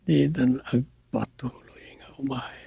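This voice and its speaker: Gravelly, evasive village husband, Ilocano accent. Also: male voice, medium pitch, steady tone, slow, warm tone, dry recording, full character voice. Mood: sly muttering. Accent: Ilocano Filipino. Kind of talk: Filipino radio serial drama acting.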